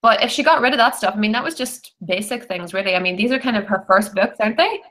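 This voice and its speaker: A woman, giving her opinions in a chatty, animated way in a casual book discussion. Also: mid-range voice, fast talker. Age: 20s. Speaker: book club panelist. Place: bedroom, indoors. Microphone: computer video call.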